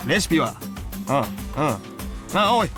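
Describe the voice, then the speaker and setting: Higher-pitched officious game aide. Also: male voice, very animated, a little slow, over music. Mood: brisk. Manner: clipped, businesslike orders with dry grumbling. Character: brisk, officious male aide, efficient, irritable and deadpan. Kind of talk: Japanese video game voice acting.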